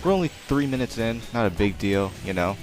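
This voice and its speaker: Young male let's player, very deep and soft-spoken, rambling and self-deprecating, game music loud underneath. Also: expressive, brisk. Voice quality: naturally quiet voice. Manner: rambling, chatty, self-deprecating, many fillers. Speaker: let's play commentator. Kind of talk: casual gameplay commentary.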